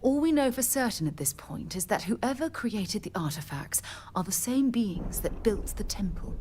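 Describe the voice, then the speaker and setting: A woman's voice: mid-range voice, lively intonation, normal speed, darkish tone, breathy edge. An actress playing an earnest, articulate woman scientist in a space adventure video game. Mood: thoughtful, uncertain. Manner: articulate, thoughtful. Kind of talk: video game dialogue acting. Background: game music.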